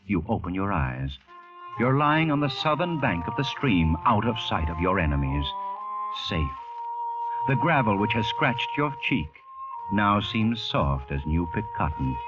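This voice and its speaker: Muffled old radio, music behind, grave storyteller, lowish voice, deliberate theatrical intensity. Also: male voice, sing-song. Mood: tense relief. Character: grave, intense second-person storyteller narrator. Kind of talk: dramatic old-time radio narration. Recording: muffled vintage radio recording.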